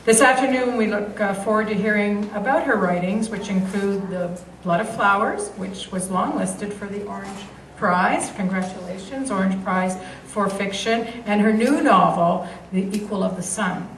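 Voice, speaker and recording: A woman's voice: medium pitch, normal speed. A woman principal delivers a formal welcome, hesitating often as she searches for her words. She sounds far from the mic in an echoey school library, with a faint hiss behind her. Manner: hesitant, with frequent fillers. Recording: distant, roomy school library.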